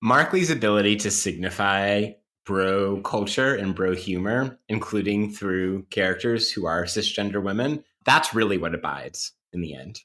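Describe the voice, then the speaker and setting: A man's voice: on the low side, animated, moderate pace, few pauses, pushed and bright. A man talking casually and analytically on a podcast, wry and academic, circling around his points as he explains. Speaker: podcast co-host playing interview guest.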